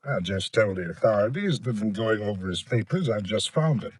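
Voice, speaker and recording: An actor playing a ruthless, domineering swindler, hard and impatient, in 1930s radio drama style. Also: male voice, lowish voice, lively intonation, fairly quick, slightly rough, no echo. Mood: scheming, impatient. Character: ruthless, domineering swindler and would-be killer, adult man. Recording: vintage 1930s radio broadcast.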